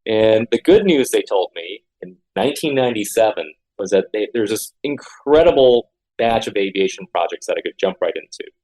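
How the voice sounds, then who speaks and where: A man's voice: lowish voice, lively intonation, moderate pace, hardly any pauses, a little mellow, rough recording. A man in his forties, a guest architect, talking earnestly in an interview and often repeating words with many fillers. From Honolulu, Hawaii. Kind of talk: conversational interview.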